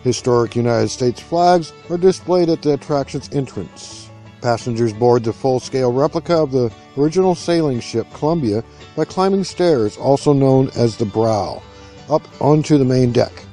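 A man reading a scripted documentary narration in a steady, factual way. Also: mid-range voice, normal speed, soft background music. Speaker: narrator of a park history video.

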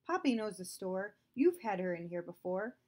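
A woman reading aloud, voicing a stern, domineering father who accuses a girl of theft, louder and higher than her narration. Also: big pitch swings, moderate pace. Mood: accusing.

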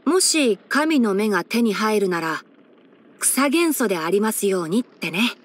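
A woman voicing a boyish young male fox-eared forest ranger and scholar in an anime game, instructive and frank with a gently teasing manner. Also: medium pitch, animated, measured pace. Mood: reflective. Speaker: character voice actor. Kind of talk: anime game character voice lines.

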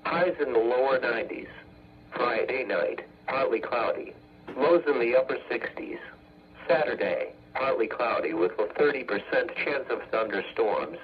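A synthetic male text-to-speech voice reading an automated weather bulletin as a radio announcer, in measured, formulaic segments. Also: mid-range voice. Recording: muffled weather radio broadcast.

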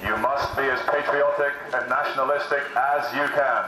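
Sarcastic man shouting through a megaphone. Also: medium pitch, steady tone, moderate pace, roomy sound, distant mic, background noise. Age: 30s. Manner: mock-patriotic, sarcastic, declaiming. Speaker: street activist comedian. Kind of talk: sarcastic megaphone street satire. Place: busy city street outdoors. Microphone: megaphone, filmed by handheld camera.

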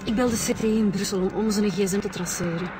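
An actress playing a brisk, alert police inspector in a radio drama, with a Flemish accent. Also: female voice, medium pitch, fairly even intonation, music in the background, lightly acted. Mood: urgent.

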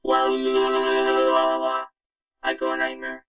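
A synthetic vocoder voice playing an animated talking logo creature in a flat, buzzy, robotic monotone. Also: extremely slow, loud music behind the voice. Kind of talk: scripted cartoon dialogue through a vocoder.

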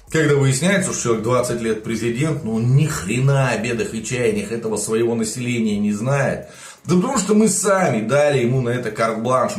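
A man's voice: mid-range voice, roomy sound. A man in his forties giving emotional, indignant political commentary to camera from a home studio, gesturing a lot as he talks. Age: 40s. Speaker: political video blogger. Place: home studio room with plants.

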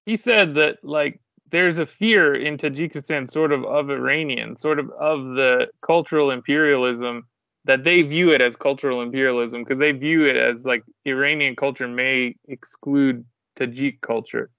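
A man's voice: mid-range voice, moderate pace, creaky, pushed and bright, no room sound. A young man co-hosting a podcast in a casual, chatty style. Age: young adult. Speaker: podcast co-host. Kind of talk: casual chat. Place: indoor home room with bookshelves.

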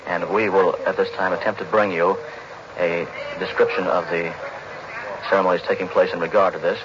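An American man announces live on 1940s radio in a deep voice. The sound is dull and clearly noisy, an old archive recording. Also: little pitch movement, brisk. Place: live at the House chamber.

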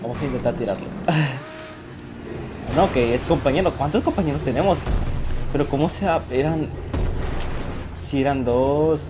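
Man, gameplay commentary, excitable, self-mocking, laughs a lot, clear game sounds behind. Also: medium pitch, moderate pace, long pauses. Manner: excitable, laughs often, mocks himself. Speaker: gaming youtuber. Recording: game audio noise behind the voice.

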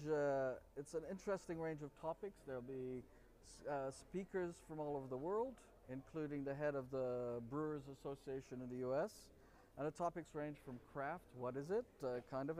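A man in his forties with a slightly high voice co-hosts a loose, jokey chat. He trips over names as he reads from a prompter. He is recorded close to a camera set on a table in an open-air bar courtyard. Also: moderate pace, a little mellow. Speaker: podcast co-host. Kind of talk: casual chat reading from a prompter. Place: outdoor courtyard of a city bar.

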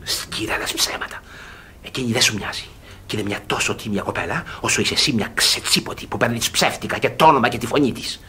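An actor playing a suspicious, gloating middle-aged guardian in a classic radio theatre comedy, blustering and fussy. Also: male voice, mid-range voice, expressive, sharp tone, a little hiss or hum. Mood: muttering scorn.

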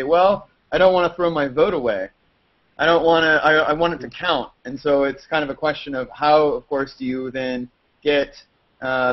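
A man asking a rambling, earnest question as a student on a video call. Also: a little high. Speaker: student caller. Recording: muffled video-call audio.

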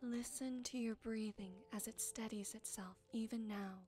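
A woman playing a gentle, wise forest witch in an ASMR roleplay, soothing, unhurried and tender, softly teasing. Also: a little high, fairly even intonation, faint music behind, natural voice. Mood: calming.

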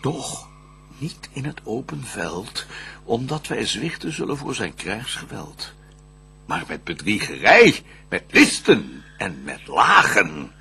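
A proud, scheming devil of verse radio drama, rasps out his plans slowly and grandly. The voice is gravelly and forceful, swooping from low menace to loud declamation. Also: sing-song, full character voice. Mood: bitter, vengeful. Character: vengeful banished devil, proud, scheming, fallen angel.

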